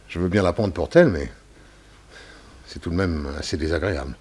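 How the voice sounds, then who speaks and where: A man's voice: low voice, measured pace, a little mellow, slightly rough. An actor playing a devoted middle-aged widowed businessman in a 1960s French radio drama, polite and hesitant in manner. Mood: uneasy.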